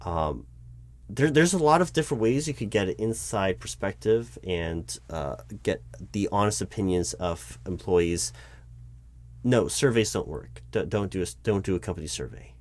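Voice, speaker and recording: Low-voiced, stammering man as a flustered recruiter. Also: animated, normal speed, darkish tone. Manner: hesitant, stammering, repeating words. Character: flustered, awkwardly polite male corporate recruiting manager. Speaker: roleplay performer playing a recruiting manager. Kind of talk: improvised one-sided job interview roleplay.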